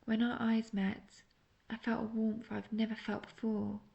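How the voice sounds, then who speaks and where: Obsessive witch played by a woman for intimate audio roleplay: creaky, breathy, coaxing, sweetly manipulative. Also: a little high, flat delivery, mellow, dull audio. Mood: wistful, adoring. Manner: breathy, slow, coaxing, often half-whispered. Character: obsessive young female witch, lovestruck and manipulative.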